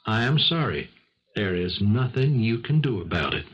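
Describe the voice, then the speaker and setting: An actor playing a weary, principled businessman in a 1970s radio drama, earnest and moralizing, with a husky voice. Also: fairly low pitch, sing-song, moderate pace, slight background noise. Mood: earnest, resolute. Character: conscience-stricken businessman, principled, resolute and weary. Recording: muffled vintage 1970s radio recording.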